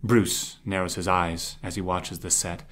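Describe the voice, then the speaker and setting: Male narrator, scripted audio drama scene description, slightly low register. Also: flat delivery, measured pace, a bit bright, a little hiss or hum.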